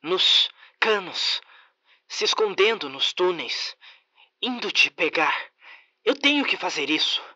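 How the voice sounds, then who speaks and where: Man doing a high, begging tunnel monster. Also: animated, slow pace, bright, forceful tone, heavily acted. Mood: desperate pleading. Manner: repeats itself obsessively, begging. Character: pleading, repetitive monster living in tunnels.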